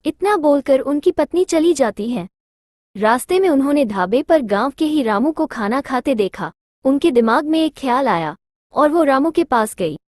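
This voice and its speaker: A woman's voice: high-pitched, animated, moderate pace, a little mellow, dry recording, studio quality. A woman narrating a scripted children's moral story.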